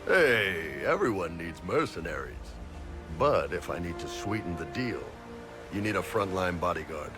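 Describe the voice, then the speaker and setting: A very low-voiced, swaggering mercenary boss in a fantasy video game. He talks bluntly and easily, like a big brute who is comfortable selling his services. Music plays clearly behind him. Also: sing-song, normal speed. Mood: swaggering, persuasive. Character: big brash Qunari mercenary chief and spy, blunt, easygoing. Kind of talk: video game character dialogue.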